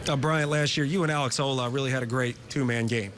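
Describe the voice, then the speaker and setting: A man's voice: medium pitch, steady tone, fast, fairly bright tone, faint music behind. A man hosting a sports TV interview, asking long, detailed questions of college players. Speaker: sports TV host.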